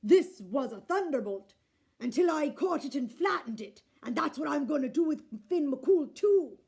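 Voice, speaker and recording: A woman voicing a gluttonous, blustering bully of a giant man who bellows and shouts. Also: high-pitched, expressive, normal speed, voice pushed up. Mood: bellowing.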